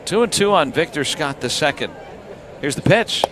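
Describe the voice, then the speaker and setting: A man's voice: fairly high pitch, expressive, moderate pace, noisy background. A man doing live radio play-by-play commentary of a baseball game.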